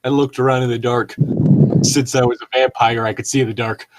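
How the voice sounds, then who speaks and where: A man's voice: medium pitch, level tone, a little fast, slight background noise, rough recording. A man reading aloud for comedy and adding wry, mocking asides in casual banter. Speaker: co-host reading and commenting.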